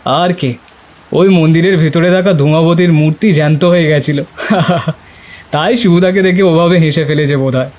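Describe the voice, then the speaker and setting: Audio drama: male actor as mocking young male sceptic, lightly high voice, teasing and lively. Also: normal speed, close to an everyday voice. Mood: mocking, amused.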